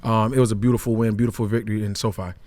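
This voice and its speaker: A man's voice: fairly low pitch, little pitch movement, racing, darkish tone. An American man on a sports show panel, talking casually, repeating phrases for emphasis and checking in as he goes.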